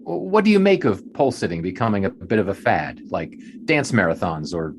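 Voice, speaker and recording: An actor playing a polite, probing young sports writer from the 1920s in an audio drama. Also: male voice, deep voice, sing-song, fairly quick, noisy background. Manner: polite, probing, a bit skeptical. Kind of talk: period audio drama acting.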